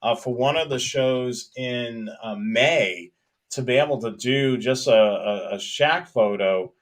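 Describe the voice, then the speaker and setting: A man's voice: mid-range voice, takes it slowly. A man co-hosting a casual live stream for radio hobbyists, talking in a hesitant, rambling way and repeating phrases as he goes. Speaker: co-host of a live hobby show about police scanners.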